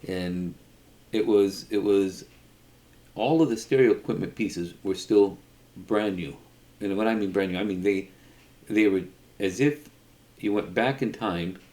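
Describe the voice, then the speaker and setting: Man, middle-aged, low-pitched voice, halting delivery, repeated words, unscripted storytelling. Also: a little mellow. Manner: halting, often repeats words mid-sentence. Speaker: storyteller, video creator.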